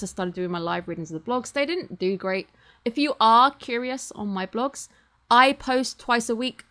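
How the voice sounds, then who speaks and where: A woman's voice: medium pitch, fairly bright tone. Sex: woman. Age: 20s. Origin: Britain. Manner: chatty, rambling. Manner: chatty, rambling, self-deprecating. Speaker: channel host. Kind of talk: casual thank-you chat to viewers. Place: home streaming setup. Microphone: computer or webcam mic.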